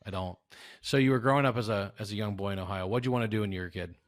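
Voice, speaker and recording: A man's voice: fairly low pitch, very fast, dry recording. An American man hosting a podcast, chatting casually and jokingly as he interviews a guest. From South Louisiana, United States. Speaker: podcast host.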